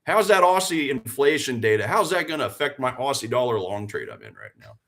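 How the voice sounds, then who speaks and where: Man in his forties, live-stream trading host, earnest, fired up, repetitive emphasis, rhetorical questions, mid-pitched voice. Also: big pitch swings, a little fast, vocal fry, a bit bright. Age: 40s. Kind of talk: live-stream motivational chat and trading commentary.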